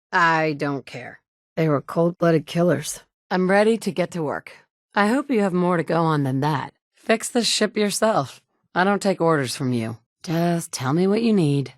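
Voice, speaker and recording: A woman's voice: fairly low pitch, darkish tone, no room sound, natural voice. A woman voicing a player character in a video game, delivering short, dry, sardonic lines as a tough, wisecracking adventurer.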